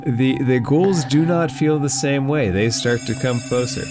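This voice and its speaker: A man's voice: mid-range voice, unhurried, background noise. A man acting as game master, narrating a tabletop game and talking through rulings aloud. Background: background music.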